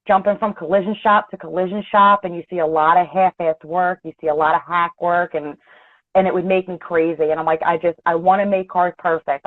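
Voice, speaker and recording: A woman's voice: lowish voice, no room sound. Philly-accented woman on a tinny call. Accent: Philadelphia with some North Jersey. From Philadelphia area, USA. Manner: chatty, laughs easily, blunt. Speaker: guest, auto body and paint tech. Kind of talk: casual interview chat. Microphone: video call. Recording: tinny dry call audio.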